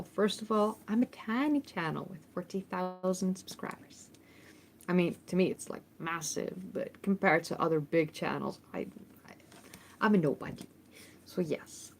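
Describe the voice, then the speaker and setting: A woman's voice: mid-range voice, darkish tone. A woman in her thirties with a Dutch accent, hosting a casual livestream Q&A and reading chat questions aloud, chatty with many ums and restarts. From Netherlands. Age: 30s. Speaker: history YouTuber and host. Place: temporary room in a family home. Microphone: phone microphone.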